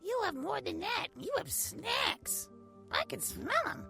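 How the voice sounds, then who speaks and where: A woman's voice: very high-pitched, very animated, a little slow, vocal fry, bright, forceful tone, faint music behind, full character voice. A woman voicing a vain, cheeky male naked mole rat who boasts playfully in an audio drama. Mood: playful, eager. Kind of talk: audio drama character acting.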